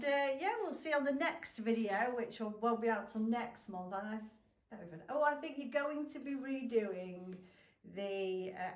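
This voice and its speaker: A woman hosting a casual vlog in a chatty, rambling way. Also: medium pitch. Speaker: host and camera operator of a restoration vlog. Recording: echoey room, music behind.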